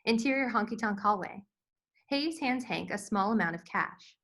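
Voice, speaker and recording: Female narrator for screenplay read, clear-cut bright, forceful tone, medium pitch, scripted delivery. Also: animated, moderate pace. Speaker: stage-direction narrator.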